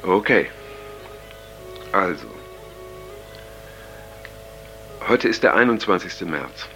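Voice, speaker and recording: An actor playing an earnest, uneasy young counterculture man, speaking a halting, casual taped diary in a radio drama, with many pauses. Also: sits low, big pitch swings, pushed and bright, faint music behind, exaggerated voice. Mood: hesitant, earnest. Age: young adult. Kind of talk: radio drama acting, improvised-sounding monologue.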